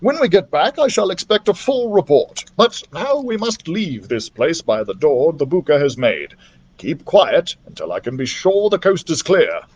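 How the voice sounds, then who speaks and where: Man playing a formal, commanding scholar-adventurer, animated. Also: a little high, normal speed. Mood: urgent, impressed. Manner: formal, precise, authoritative phrasing. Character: learned male adventurer doctor, commanding and formal mentor.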